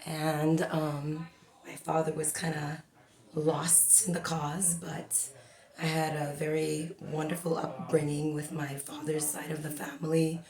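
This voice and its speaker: Woman, forties, from the Bahamas, low voice, talkative interview guest, echoey room. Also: level tone, a little slow, darkish tone. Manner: chatty, talks a lot with many fillers. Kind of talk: casual interview.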